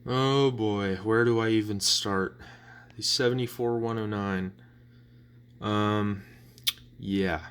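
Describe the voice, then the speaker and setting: Slow-talking young man, casual and rambling. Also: on the low side, a bit bright, smooth. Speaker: collector presenting weather radios. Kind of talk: casual show-and-tell vlog.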